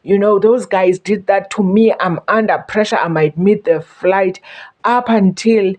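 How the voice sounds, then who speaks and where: A woman's voice: medium pitch, unhurried, a little mellow. A South African woman telling a travel story to her viewers in a chatty way, repeating words as she warns them. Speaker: vlogger. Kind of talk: casual storytelling to camera.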